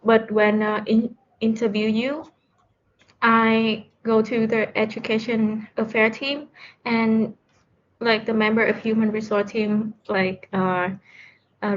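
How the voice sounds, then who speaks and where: Slow, hesitant woman. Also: a little high, flat delivery, highs cut off, a little hiss or hum. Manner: hesitant, halting with many fillers. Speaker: student, volunteer. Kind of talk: casual online chat.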